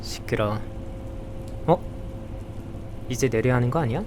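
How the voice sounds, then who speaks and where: A man acting a dry, grumbling young man in a visual novel, curt and deadpan, with rain and crickets behind him. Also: mid-range voice, measured pace, background noise, close to an everyday voice. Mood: curt, defensive. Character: dry, grumbling young man, reluctant occult investigation helper. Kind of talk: visual novel acting with first-person narration.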